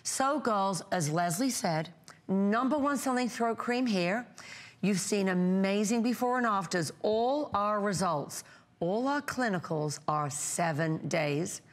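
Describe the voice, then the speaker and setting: A woman in her fifties gives a chatty, emphatic home shopping pitch, piling up vivid descriptive words as she talks to viewers. Her voice sits in a middle pitch, picked up cleanly on a lapel mic in a bright TV studio. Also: expressive, slow. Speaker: brand founder presenting on a shopping channel.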